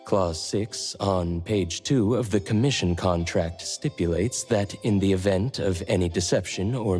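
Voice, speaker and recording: An actor playing a poker-faced, formal, businesslike man in an anime-style game, with a deadpan delivery. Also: deep voice.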